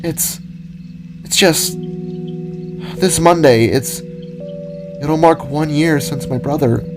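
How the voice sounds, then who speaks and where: A young female wolf from an audio story, acted out by a man, anxious and emotional, pitched high. The words come haltingly, with stammers, over background music. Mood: sad, anxious.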